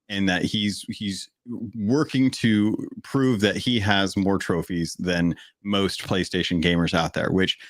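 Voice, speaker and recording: Man, deep voice, monotone, podcast co-host, casual chat, dry sound. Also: dry recording. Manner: steady, monotone delivery.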